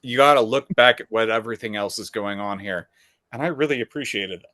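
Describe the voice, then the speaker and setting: A man's voice: fairly low pitch, fairly quick, pushed and bright, dry recording, clean studio sound. A man chatting casually as a co-host on a podcast.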